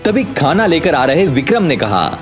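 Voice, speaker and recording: A man's voice: a little high, very animated, normal speed, soft background music. A man reading a scripted audio story as its narrator, dramatic, suspenseful and emphatic.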